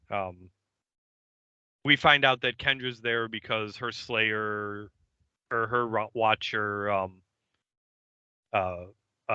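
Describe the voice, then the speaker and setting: A man's voice: on the low side, sharp tone, clean tone, no room sound, highs cut off. A man co-hosting a podcast, retelling an episode in a loose, joking way with lots of fillers. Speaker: podcast co-host. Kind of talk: casual episode recap chat.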